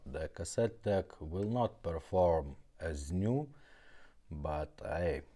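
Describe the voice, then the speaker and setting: Deep-voiced man giving a casual demo. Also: dark tone. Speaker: repair shop presenter demonstrating a product. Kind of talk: casual product demonstration, explaining step by step.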